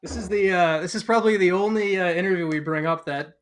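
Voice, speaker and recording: A male co-host with a fairly high voice for a man. He talks casually about sports, working his way toward a point in rambling, halting phrases. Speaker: podcast co-host. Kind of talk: casual sports interview chat.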